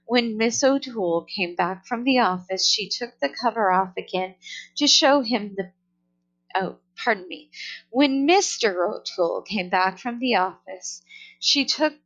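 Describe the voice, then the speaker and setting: A woman reading a children's story aloud in a careful, deliberate way, on a somewhat dull recording. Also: medium pitch, fairly bright tone. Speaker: storybook reader. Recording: dull, lo-fi sound.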